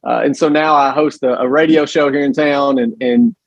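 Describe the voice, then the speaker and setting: A man with a loud voice and a Southern US accent, talking emphatically in an interview with many restarts. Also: fairly high pitch, steady tone, normal speed, no echo, dull audio. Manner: emphatic, frequent restarts and fillers. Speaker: guest, pregnancy center director. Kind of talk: conversational interview.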